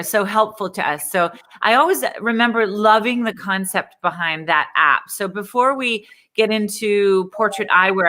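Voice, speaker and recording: A middle-aged woman hosts a livestream interview with an upbeat, chatty manner. Her voice is bright and sharp, and she talks in an admiring, friendly way as a speaking coach. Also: medium pitch.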